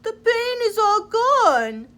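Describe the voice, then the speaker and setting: Woman playing a loud, high-pitched selfish storybook crocodile. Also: big pitch swings, slow pace, exaggerated voice. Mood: relieved, delighted. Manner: booming shouts and wailing groans. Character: big selfish crocodile, bossy, loud and dramatic. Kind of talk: children's storybook read-aloud.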